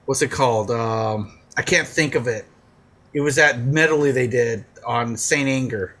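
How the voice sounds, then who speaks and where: Bright-voiced rambling man in his forties. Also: medium pitch, unhurried, slight background noise. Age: 40s. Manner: rambling, heavy fillers and swearing. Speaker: podcast co-host. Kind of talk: casual comedic chat.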